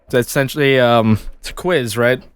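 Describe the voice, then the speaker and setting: A man's voice: mid-range voice, moderate pace, clear, resonant tone, no echo. A young man co-hosting a casual podcast, sniffly with a stuffy nose, joking and swearing between chuckles. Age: young adult. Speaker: podcast co-host. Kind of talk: casual chat.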